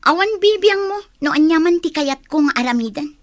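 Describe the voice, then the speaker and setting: A headstrong student with a high voice and an Ilocano accent. She is young and resentful, delivering her radio drama lines with emphatic defiance. The sound is dry. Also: animated, brisk, no room sound. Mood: angry, defiant. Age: young adult.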